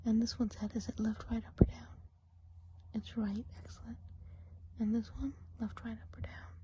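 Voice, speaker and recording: A woman playing a friendly, gossipy witch of the woods in a hushed, chatty ASMR role play, in a soft breathy whisper. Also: fairly high pitch, flat delivery, moderate pace, muffled recording, lightly acted. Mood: gentle, attentive.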